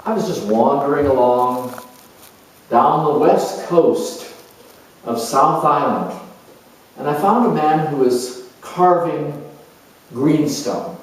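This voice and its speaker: A man playing a creative, spiritual-minded sculptor in a dramatized monologue, speaking in a halting, musing, expressive way with pauses. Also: a little high. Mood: wistful. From New Zealand. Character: creative, sensual, reflective middle-aged male sculptor, spiritual seeker. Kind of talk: dramatized first-person monologue before an audience or room. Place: echoey room, recorded from far off. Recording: very echoey room, distant microphone.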